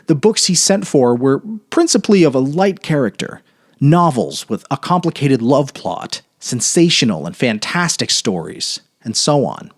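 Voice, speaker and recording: A man narrating a short story as a dramatic reading, with theatrical, measured phrasing. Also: mid-range voice, darkish tone.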